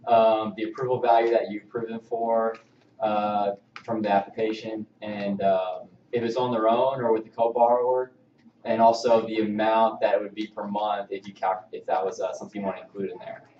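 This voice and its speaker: Halting male trainer in a roomy space. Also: on the low side, level tone, a little hiss or hum. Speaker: mortgage loan officer giving staff training. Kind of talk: informal presentation of email templates. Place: indoor training room with projection screen. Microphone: far camera microphone. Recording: distant, roomy, slightly dull mic.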